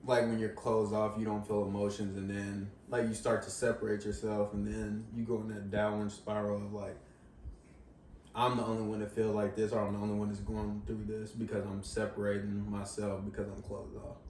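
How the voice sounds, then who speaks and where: A man's voice: on the low side, completely flat delivery, a little fast, darkish tone. A young man speaking thoughtfully in long reflective strings, seated on a couch in a living room, recorded by a far camera. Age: young adult. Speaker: guest in a discussion. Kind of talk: casual reflective chat. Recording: roomy sound from far off, faint hiss.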